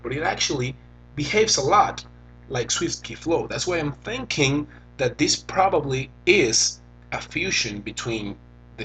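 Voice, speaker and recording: A young man from Venezuela with a Venezuelan Spanish accent, a native Spanish speaker, talking casually and haltingly as he demos and reviews a phone keyboard. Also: mid-range voice, a bit bright, a little hiss or hum, rough recording. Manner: slow, halting, thinks aloud while typing. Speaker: tech reviewer.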